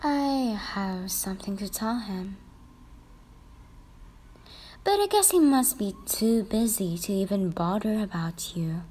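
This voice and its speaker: A woman's voice: mid-range voice, expressive, slow pace, pauses often, mellow. A woman voicing a catty, lovesick schoolgirl rival with an affected, sugary manner in a romantic roleplay. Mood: fake sweet, snide. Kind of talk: romantic fan-fiction roleplay.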